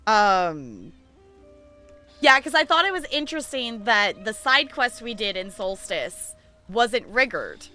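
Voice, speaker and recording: A woman chatting casually and playfully while livestreaming a game, thinking out loud as she goes. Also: fairly high pitch, very animated, slow, soft background music. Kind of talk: casual stream chat while playing, reading game dialogue aloud.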